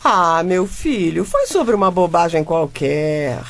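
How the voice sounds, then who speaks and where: Animated, exasperated wife and mother. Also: lowish voice, slow, full character voice. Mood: defensive. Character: exasperated, defensive modern wife and mother, a reporter. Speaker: radio drama actor. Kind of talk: comic radio drama acting.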